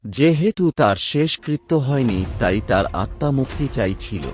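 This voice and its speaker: A man telling a first-person horror story in a hushed, dramatic way. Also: medium pitch, expressive, a little slow. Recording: spooky music bed under narration.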